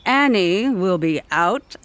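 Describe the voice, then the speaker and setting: A woman's voice: medium pitch, lively intonation, takes it slowly, a bit bright, no room sound. A woman playing a smug, scheming office worker in an audio theatre comedy.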